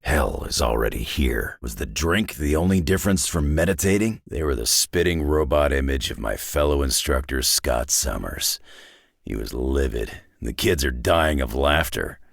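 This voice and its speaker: Gruff middle-aged superhero brawler, very low husky rasp, weary, blunt, terse, close dry sound, video game. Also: male voice, animated, moderate pace, no room sound, full character voice. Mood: wry. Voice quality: deep gravelly rasp. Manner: terse, dry, folksy tough-guy talk with dropped words.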